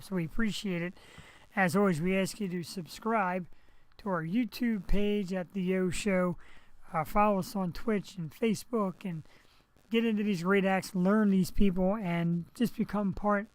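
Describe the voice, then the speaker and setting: A man hosting a live webcast talk show, hoarse and raspy after surgery, rambling and chatting straight to his viewers through a headset mic. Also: high-pitched, no room sound, poor audio. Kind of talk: casual live webcast monologue.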